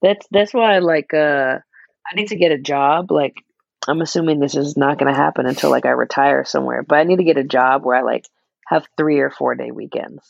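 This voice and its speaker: A young man with a naturally raspy voice, chatting casually as a podcast co-host, laid-back and quick to laugh. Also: a little high, fairly even intonation, no room sound, dull audio. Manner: laid-back, laughs often, many fillers. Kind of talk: casual chat.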